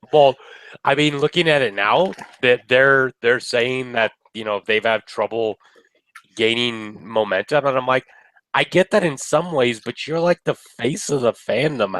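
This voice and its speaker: A man's voice: mid-range voice, expressive, unhurried, a bit bright, dry recording, rough recording. Man with a cold, nasal and laid-back, dry close webcam sound. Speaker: co-host of a review video. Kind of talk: casual banter. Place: indoor room.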